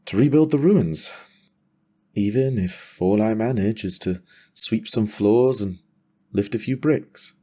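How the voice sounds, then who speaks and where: A man playing a battle-worn dragon rider and father in a fantasy audio drama, weary but hopeful, reflective and quietly wry. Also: on the low side, takes it slowly, voice pushed up. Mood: weary, resolute.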